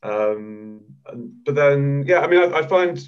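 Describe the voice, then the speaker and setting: A man's voice: medium pitch, animated, a little slow, clear, resonant tone. A man in his thirties from the north of England, a guest author on a video-call panel. He talks in a hesitant, casual way, repeating words and restarting often. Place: home room, video call. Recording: dull lo-fi video call audio.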